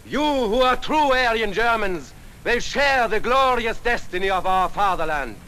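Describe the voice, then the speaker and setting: An actor playing a fanatical Nazi orator, declaiming menacingly and emphatically in a 1940s film style. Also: male voice, high voice, lively intonation, unhurried, sharp tone, heavily acted. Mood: grandiose. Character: fanatical Nazi party orator stirring up a crowd. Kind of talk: 1940s film acting, rabble-rousing political speech. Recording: noisy old film soundtrack.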